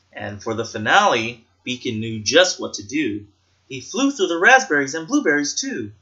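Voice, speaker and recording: Animated man reading a children's storybook. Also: mid-range voice, lo-fi sound. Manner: animated, sing-song rhythmic delivery. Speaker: reader of a children's picture book. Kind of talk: expressive rhyming storybook narration for children.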